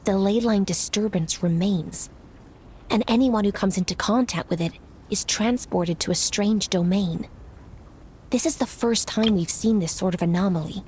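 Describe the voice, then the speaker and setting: A woman playing a noble commander in a video game, composed and authoritative, with a measured, formal, businesslike delivery. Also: mid-range voice, a little mellow, a little hiss or hum, natural voice, rough recording. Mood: grave briefing.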